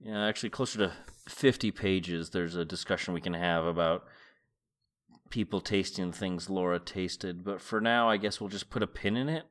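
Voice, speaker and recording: Male podcast host, middle-aged, slightly low pitch. Book narration and informal, reflective solo commentary. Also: steady tone, normal speed, a bit bright. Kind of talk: book read-aloud and casual solo commentary.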